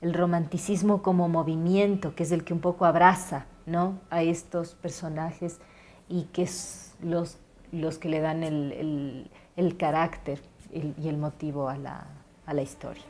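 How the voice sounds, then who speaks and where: A woman's voice: low voice, little pitch movement, unhurried, a little mellow. A woman explaining things thoughtfully in an interview, searching for her words.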